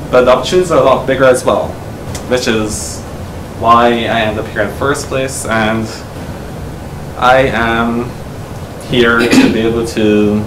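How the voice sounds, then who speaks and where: A man from Barbados giving a relaxed, conversational practice speech about moving from island life. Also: mid-range voice. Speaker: speech trainee. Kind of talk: practice self-introduction speech. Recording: echoey room with noise.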